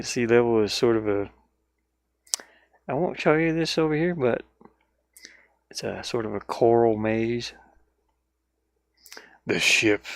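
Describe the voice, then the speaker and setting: A man giving casual, easygoing devlog commentary as an indie game developer, halting and thinking out loud while he works. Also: lowish voice, vocal fry, no echo, clean studio sound. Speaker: indie game developer showing his own game.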